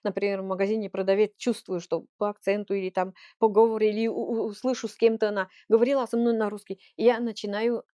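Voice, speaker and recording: A woman's voice: medium pitch, flat delivery, fast talker, hardly any pauses, mellow, no echo, studio quality. A woman speaking Russian with a Komi-Permyak accent, a native Komi-Permyak speaker, giving earnest, heartfelt interview answers as a children's folk ensemble leader.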